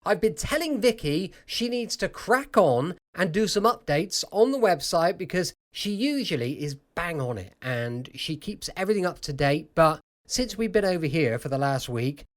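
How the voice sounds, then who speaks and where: British guy podcast host, chatty and rambly, pitch bouncing all over the place. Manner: rambling, informal asides. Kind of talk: chatty scripted-feel introduction to old-time radio.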